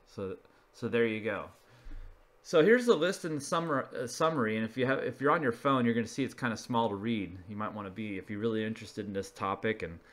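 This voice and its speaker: A man with a fairly low voice presents a casual hobbyist explainer. He talks off the cuff in a conversational way, with some hesitant phrasing as he walks through slides. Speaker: video presenter, radio hobbyist.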